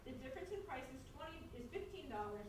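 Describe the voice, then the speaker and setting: A woman playing a brisk, shrewd, commanding theatre manager in a stage comedy. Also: sits high, expressive. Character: brisk, bossy female theatre manager and fixer. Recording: very echoey hall, distant mic, noisy.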